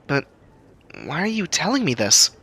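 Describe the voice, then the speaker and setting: A man voicing a prickly, sarcastic but soft-hearted young woman in a narrated audio story, earnest under the exasperation. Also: fairly high pitch, sing-song, normal speed, slightly rough, voice pushed up, full character voice. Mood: puzzled.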